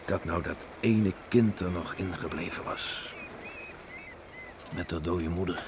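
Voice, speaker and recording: Radio play: older farmer father, low voice, reflective, rustic, concerned; noisy vintage recording. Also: takes it slowly. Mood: subdued, probing. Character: ageing island farmer father, plain-spoken, reflective, concerned. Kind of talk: Dutch radio drama acting.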